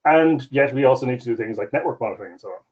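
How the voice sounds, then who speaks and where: Man, conference talk, halting with fillers, mid pitch, slightly muffled lo-fi sound. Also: lively intonation, darkish tone, slightly rough. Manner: hesitant, many fillers and restarts. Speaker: conference speaker. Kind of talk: conference talk over video call.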